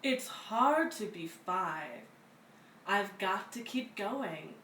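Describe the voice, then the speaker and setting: A woman reading a children's picture book aloud in an expressive, deliberate way, as a story-time reader. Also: mid-range voice. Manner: slow, deliberate, animated delivery for young children. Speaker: read-aloud story reader at a community center. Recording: roomy, distant mic, faint background noise.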